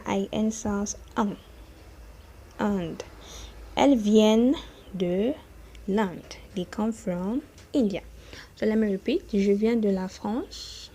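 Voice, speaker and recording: A woman teaching beginner French at an unhurried pace, her voice mellow and soft-edged. She repeats each phrase and keeps checking in with the listener, with a faint hiss under the recording. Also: medium pitch. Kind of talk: beginner language lesson.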